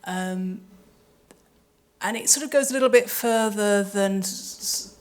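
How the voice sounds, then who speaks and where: A woman's voice: mid-range voice, slow. A woman speaking on a panel, explaining in detail and thoughtfully, with some hesitant phrasing. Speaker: panelist, audio drama sound designer. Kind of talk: panel talk. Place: live event panel before an audience.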